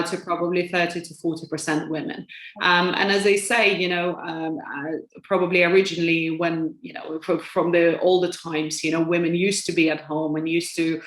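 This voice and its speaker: A woman's voice: lowish voice, a bit bright. Lithuanian-accented young woman, echoey room. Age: young adult. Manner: hesitant, many fillers and restarts. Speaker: guest. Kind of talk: interview answers.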